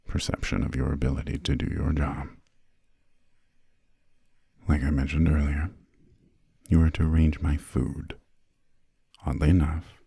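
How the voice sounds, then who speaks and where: Haughty, formal vampire lord played by a man for ASMR roleplay: extremely low, breathy and husky, measured and hushed. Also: no echo, heavily acted. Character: refined, imperious male vampire lord, formal and fussy. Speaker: employer briefing a new assistant.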